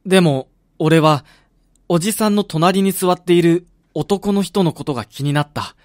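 A man's voice: high voice, normal speed, no room sound, clean studio sound, close to an everyday voice. An actor playing a proud, wounded young footballer son in a radio drama, frank and emotional in his delivery. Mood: reflective. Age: young adult.

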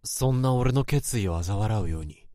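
A man's voice: fairly low pitch, animated, moderate pace, mellow, no room sound, studio quality, close to an everyday voice. An actor narrating and playing a troubled male office worker in a radio drama, brooding inner monologue and guarded dialogue. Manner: inner monologue that swings into frantic outbursts. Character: tormented male office worker who hears others' thoughts. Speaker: narrator and protagonist.

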